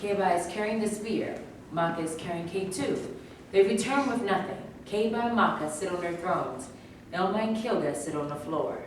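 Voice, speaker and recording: A woman's voice: on the low side, normal speed, slight background noise. A woman reading stage directions aloud in a plain, matter-of-fact way during a staged reading. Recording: echoey room, mic far off.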